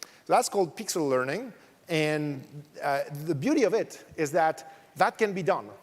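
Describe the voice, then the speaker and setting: A man's voice: fairly high pitch, lively intonation, normal speed. A man from France with a French accent, giving a technical conference talk with frequent fillers and restarts. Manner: explanatory, many fillers and restarts. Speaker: conference speaker. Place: large conference hall. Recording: long reverb of a large hall.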